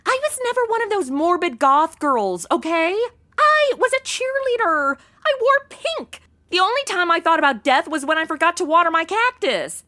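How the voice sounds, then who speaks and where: A woman's voice: extremely high pitch, very animated, normal speed, pushed and bright, full character voice. A woman acting a flighty, self-absorbed young American ex-cheerleader in a comedy audio drama, with slangy, melodramatic delivery. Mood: chatty, defensive.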